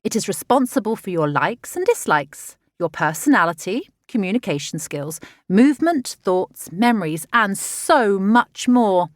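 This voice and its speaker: A woman reading a children's nonfiction audiobook in an expressive, measured, explanatory way. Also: medium pitch, no room sound, studio quality. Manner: clear, measured, expressive teaching delivery for children. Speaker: author narrating own audiobook.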